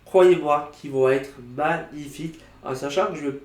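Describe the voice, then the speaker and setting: A man with a cold, telling a story in a casual vlog in a lively, animated way with sound effects. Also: on the high side, moderate pace, reverberant. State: has a cold. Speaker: vlogger.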